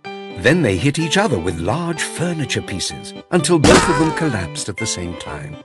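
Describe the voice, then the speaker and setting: A man narrating a children's fairy tale in an expressive, theatrical storytelling manner. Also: mid-range voice, moderate pace. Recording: music behind the voice. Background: music.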